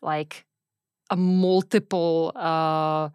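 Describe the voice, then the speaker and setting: Smooth lower-pitched woman in conversation. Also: steady tone, very slow, a little mellow, no room sound, studio quality. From Czech Republic. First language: Czech. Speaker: interview guest, venture capital investor. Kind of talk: casual conversational interview.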